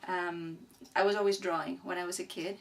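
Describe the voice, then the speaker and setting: Lower-pitched, thoughtful woman. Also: little pitch movement, vocal fry. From Argentina. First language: Spanish. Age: middle-aged. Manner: thoughtful, halting, searching for words. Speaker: artist being interviewed. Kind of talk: reflective interview talk about own work. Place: indoors beside a displayed print. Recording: distant mic, light background noise.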